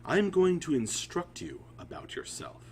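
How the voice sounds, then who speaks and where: A man voicing a sinister, commanding overseer in a fantasy story, lecturing deliberately and with menace. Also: fairly high pitch, huge pitch swings, darkish tone, pitched above the performer's own voice. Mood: menacing, instructing. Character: sinister, commanding male overseer, illusion-weaving tormentor. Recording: audible background hum and noise.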